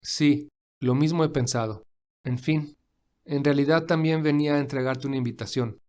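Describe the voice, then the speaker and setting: A man playing a kind, busy, wealthy gentleman who speaks warmly and reassuringly in an audio fan drama. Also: mid-range voice, steady tone, normal speed, close to an everyday voice. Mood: calm, friendly. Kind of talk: acted audio fan fiction dialogue.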